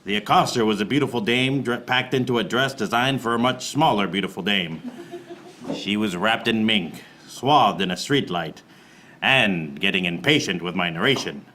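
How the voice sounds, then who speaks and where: An actor playing a wisecracking, hard-boiled private eye, narrating a noir parody radio play in a deadpan, wry way. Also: male voice, lowish voice, normal speed. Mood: wry, unruffled. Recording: noticeable background noise.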